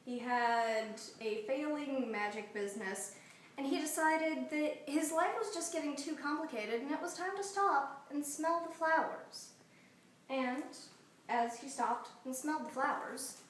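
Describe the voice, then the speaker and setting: A woman's voice: high-pitched, a bit bright. A woman improvising a casual comic story in a rambling, conversational way with offhand asides. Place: echoey room, far from mic. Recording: echoey room, distant mic, faint noise.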